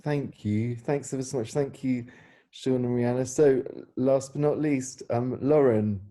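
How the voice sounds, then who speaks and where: A woman's voice: extremely low pitch, unhurried, dark tone. A woman hosting an informal Q&A, asking questions politely. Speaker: host of a playwright Q&A.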